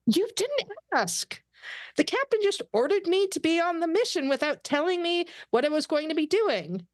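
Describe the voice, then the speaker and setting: Actress as young female alien pirate captain, raised pitch, commanding, insistent, dry close sound, sci-fi script reading. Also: big pitch swings, normal speed, no room sound. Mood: pleading, insistent. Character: young alien woman officer posing as tough pirate captain. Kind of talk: fan script table read.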